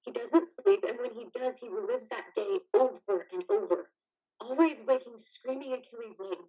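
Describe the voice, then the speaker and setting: A woman playing the exhausted, fiercely protective partner of a troubled veteran in a play reading, blunt and biting, with a husky voice. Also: a little high, moderate pace, mellow, low-quality recording. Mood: desperate. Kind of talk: play reading, modern drama.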